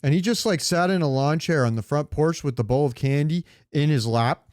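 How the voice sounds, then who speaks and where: A man with a smooth, slightly high voice chats as a podcast co-host. He tells joking anecdotes in loose, profane slang. The sound is close and dry, with no room echo. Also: fairly quick, darkish tone. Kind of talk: casual chat, comic storytelling.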